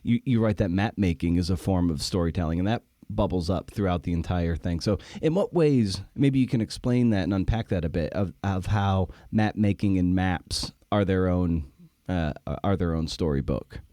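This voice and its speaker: Mellow low-voiced man hosting. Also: normal speed, dry recording, clean studio sound. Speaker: podcast host, interviewer. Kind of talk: casual interview chat.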